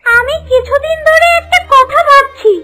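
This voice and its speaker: A woman's voice: extremely high pitch, normal speed, loud music behind the voice. A woman voicing a scheming man-eating ghost wife with chatty, sly manners in a cartoon. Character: scheming ten-armed female ghost wife, man-eater. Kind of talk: cartoon voice acting.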